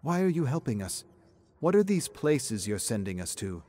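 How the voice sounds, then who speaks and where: A man's voice: mid-range voice, very animated, moderate pace, mellow, voice pushed up. A man voicing an insistent, probing young woman investigator in an audiobook story, with a pressing, questioning manner. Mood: demanding. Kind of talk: audiobook character acting.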